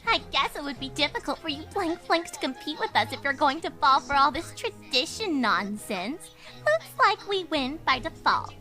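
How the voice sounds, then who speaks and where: A very high, sharp and animated voice plays a spoiled little rich cartoon pony. She is smug and snide, a taunting girl bully. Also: moderate pace, faint music behind, heavily acted. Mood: snide, challenging. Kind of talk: cartoon-style fan fiction dramatization.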